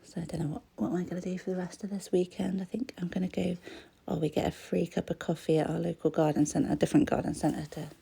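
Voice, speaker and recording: A croaky-voiced woman, chatting casually as she crafts, often trailing into a whisper. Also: on the low side, little pitch movement, quick pace, few pauses, dark tone. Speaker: crafting vlogger. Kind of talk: casual voice-over chat while crafting.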